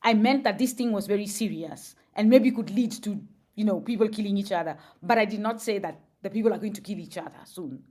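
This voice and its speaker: A woman's voice: medium pitch, fairly quick, a little mellow. Woman explaining with fillers. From Kenya. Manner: thoughtful, explains with examples, many fillers. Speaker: guest. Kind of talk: podcast interview.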